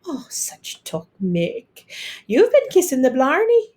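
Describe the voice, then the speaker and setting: A woman's voice: sits high, wildly swooping pitch, slow, warm tone, pitched above the performer's own voice, exaggerated voice. Woman playing a loving, careworn mother. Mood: bashful teasing. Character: Irish mother, loving, proud, careworn lace maker.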